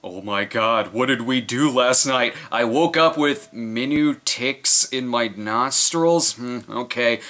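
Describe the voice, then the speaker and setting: A man's voice: mid-range voice, takes it slowly, few pauses, sharp tone. A man hosting a comedic film review voice-over, full of sarcastic, mocking asides.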